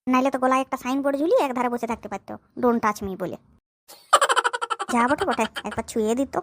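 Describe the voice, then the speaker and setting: Actor doing a cheeky young cartoon lad, high voice, rapid muttering, sly, dialect. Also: heavy background noise, natural voice. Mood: sly, hesitant. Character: sly, girl-chasing young village lad in comedy cartoon. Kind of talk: comic cartoon dialogue in village dialect.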